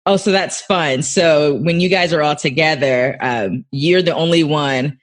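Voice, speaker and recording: A man with a somewhat high voice hosts a podcast interview. He sounds upbeat and encouraging, and he laughs often as he asks his questions. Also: unhurried. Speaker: podcast host.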